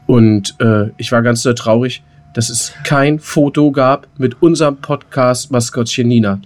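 A man's voice: mid-range voice, steady tone, measured pace. A man co-hosting a podcast, chatting colloquially and telling things in a playful way. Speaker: podcast co-host. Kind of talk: casual podcast chat.

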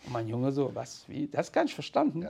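A man's voice: medium pitch, huge pitch swings, fairly quick, vocal fry, warm tone, husky. A man, telling stories casually and candidly in colloquial language. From Cologne, Germany. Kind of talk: casual conversational storytelling.

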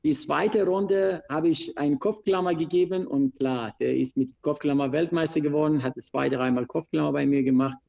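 A man from Iran with an Iranian accent, a wrestler recounting his career in an interview, speaking in halting, broken phrases with many restarts. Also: on the high side, steady tone, gravelly, a little mellow, muffled recording. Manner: broken grammar, frequent restarts and hesitations. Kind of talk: interview, telling his life story.

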